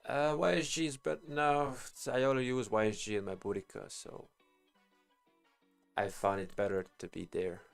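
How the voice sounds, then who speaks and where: A man talks through a game on a live stream. His voice sits on the low side and has a clear creaky fry. He rambles informally, thinking aloud as he goes, with music playing behind him. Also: level tone, normal speed. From Bosnia and Herzegovina. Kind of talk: casual game stream commentary.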